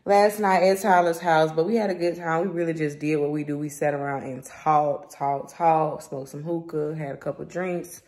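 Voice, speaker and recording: Low-voiced young Southern US woman vlogging. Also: steady tone, moderate pace, hardly any pauses, a little mellow. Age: young adult. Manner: informal, slangy. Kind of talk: casual vlog chat to camera. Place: rooms at home and a parked car. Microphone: phone at arm's length.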